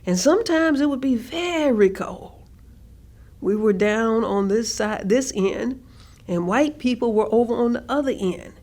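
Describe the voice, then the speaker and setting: Actress as slow, high-voiced older woman. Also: animated, darkish tone. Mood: matter-of-fact recollection. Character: older woman, former hospital worker, plainly recalling segregation-era hardship. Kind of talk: staged reading of oral history.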